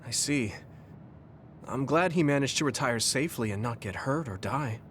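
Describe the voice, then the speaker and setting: An actor playing an earnest, kind young soldier in a video game, with a gentle and friendly way of talking. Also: male voice, medium pitch, expressive, normal speed, a little hiss or hum, lightly acted. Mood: concerned. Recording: background music over dialogue.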